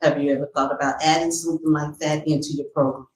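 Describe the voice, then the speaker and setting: A woman's voice: deep voice, little pitch movement, quick pace. Woman, interview co-host and vocal teacher. Conversational, affirming delivery, roomy sound with faint noise. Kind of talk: casual interview chat. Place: small studio room, live recorded show. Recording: echoey room, distant mic, faint noise.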